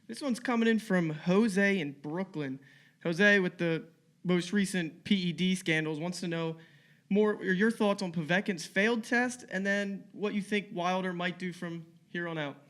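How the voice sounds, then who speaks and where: A man's voice: high voice, unhurried, fairly bright tone. A man on a podcast reading out questions sent in by listeners.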